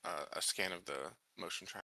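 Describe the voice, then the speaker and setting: A man's voice: low-pitched, fairly even intonation, a little fast, pushed and bright, dry recording. A man playing a tabletop game, talking casually and hesitantly, often repeating his words.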